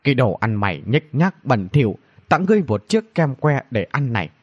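A man's voice: medium pitch, big pitch swings, fairly quick, lo-fi sound. A man voicing a haughty, hot-tempered woman mage, scornful and threatening, in a fantasy audiobook. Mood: scornful anger. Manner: scornful, threatening, spell-shouting.

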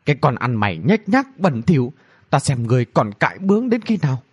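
A man's voice: mid-range voice, big pitch swings, a little fast, husky, lo-fi sound. A man voicing a haughty, hot-tempered woman mage, scornful and threatening, in a fantasy audiobook. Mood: scornful anger. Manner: scornful, threatening, spell-shouting.

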